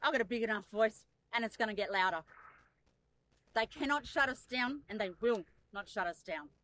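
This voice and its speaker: A woman's voice: fairly high pitch, moderate pace, fairly bright tone. A woman making an urgent, indignant public statement, rallying people to her cause. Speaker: activist.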